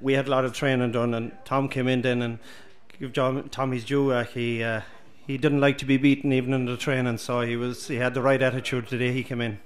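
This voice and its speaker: A middle-aged Irish man, a former midfielder, speaking hesitantly with many pauses in a pub. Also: medium pitch, flat delivery. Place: busy pub, indoors.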